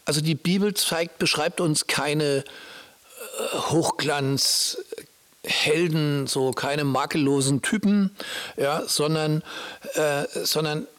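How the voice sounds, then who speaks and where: A man with a somewhat high-pitched voice explains Bible stories in a casual conversation. His delivery is informal and halting, often circling back as he searches for the right words. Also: fairly bright tone.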